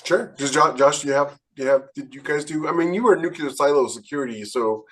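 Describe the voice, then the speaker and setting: A man's voice: on the high side, quick pace, a bit bright. A middle-aged American man telling casual, rambling stories on a podcast, often searching for details. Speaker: podcast panelist and military veteran. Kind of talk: casual anecdotal storytelling.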